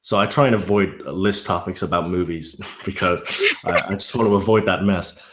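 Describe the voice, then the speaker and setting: A man's voice: low-pitched, fairly quick, fairly bright tone, roomy sound. A man from Ireland with a mild Irish accent, chatting casually as an interview guest, rambling with many restarts. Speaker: YouTube narrator guest.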